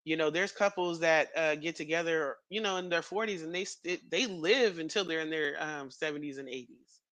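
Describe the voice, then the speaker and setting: A man in his thirties chatting casually as a podcast co-host, rambling with fillers and quick laughs. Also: fairly high pitch, bright, forceful tone, dry recording. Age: 30s.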